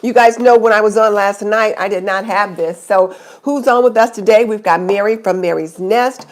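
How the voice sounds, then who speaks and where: Middle-aged woman host, stuffy-nosed from a cold, loose chatty live-stream delivery, mid-range pitch. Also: normal speed. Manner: chatty, greets viewers by name. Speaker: YouTube channel host. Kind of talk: casual live stream chat.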